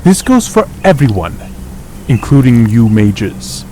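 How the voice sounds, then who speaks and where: Man as proud dragon, growling, stern, commanding, very animated pitch, audio drama, slight background noise. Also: slow pace.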